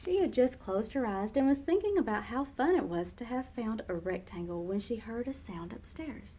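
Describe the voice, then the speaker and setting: Lady teacher doing a storybook read-aloud for little kids, kinda high voice, slow and expressive. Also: a little hiss or hum. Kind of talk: children's book read-aloud.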